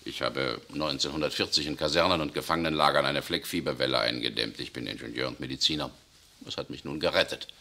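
A man acting in a 1960s radio play as a tense German SS officer who secretly resists, speaking urgently, swinging between outbursts and hushed confession. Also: extremely low pitch, fairly even intonation, fast talker, heavily acted. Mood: confiding. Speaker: radio drama actor.

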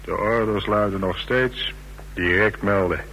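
An actor playing a stern, dutiful underling who relays orders in a clipped, official way, in a radio drama. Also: male voice, lowish voice, unhurried, bright, forceful tone. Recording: noisy, humming old radio recording.

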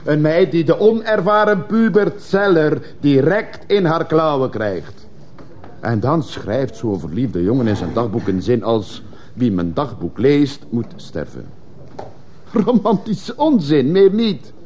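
An actor playing a scornful, rhetorical defence lawyer pleading dismissively in a radio courtroom drama. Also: male voice, fairly high pitch, big pitch swings, slow pace, a little mellow. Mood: scornful, mocking. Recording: faintly noisy old radio recording.

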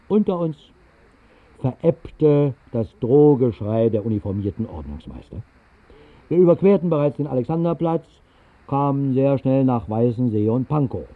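A man's voice: mid-range voice, breathy edge, narrow, tinny audio. An actor playing a whimsical man who tells a fantastical tale in a 1960s literary radio play, in a wry, conversational way with musing asides. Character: whimsical man recounting a fantastical night flight over the city. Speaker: first-person narrator of a radio play.

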